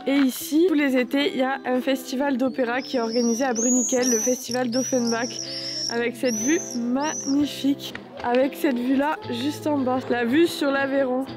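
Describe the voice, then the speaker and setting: A woman's voice: high voice, fairly even intonation, normal speed, over music. A young woman from southwest France vlogging to camera outdoors while congested with a cold, chatty and upbeat. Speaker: travel vlogger. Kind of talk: casual vlog talk to camera. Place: outdoors in villages.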